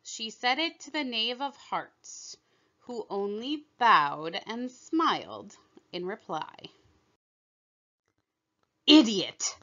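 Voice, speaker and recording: A woman reading a children's story aloud in deliberate, expressive narration, on a slightly dull recording. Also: on the high side. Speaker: storybook reader.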